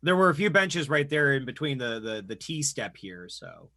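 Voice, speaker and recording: A man's voice: mid-range voice, very animated, moderate pace, bright, forceful tone. A man voicing a guide character during an online tabletop roleplay session, earnest and playful. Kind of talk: tabletop roleplay over voice chat.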